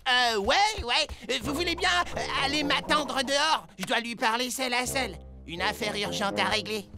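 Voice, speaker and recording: A woman's voice: on the high side, moderate pace, close to an everyday voice. A woman voicing a greedy, cheeky young boy in a cartoon dub, shifty and offhand. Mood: sly, evasive. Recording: loud music behind.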